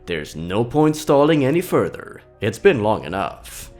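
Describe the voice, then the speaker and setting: Guy with a deep-ish voice doing dramatic creepy story narration, pitch moves around a lot. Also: sing-song, a little slow, darkish tone, soft background music. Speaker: host and narrator of a horror story countdown. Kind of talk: scripted horror storytelling narration.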